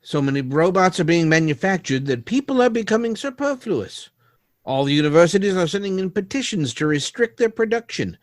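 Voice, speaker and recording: Man acting an anguished, high-pitched radio drama scientist. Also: sing-song, no room sound, studio quality. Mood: grave, foreboding. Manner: halting, grave, dramatic. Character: anguished male scientist confessing to tampering with robots.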